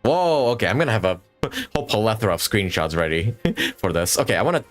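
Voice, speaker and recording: A man's voice: on the low side, big pitch swings, brisk, faint music behind. A young man giving casual live gameplay commentary, jokey and talking to himself as he plays. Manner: jokey, talks to himself while playing. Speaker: video game streamer.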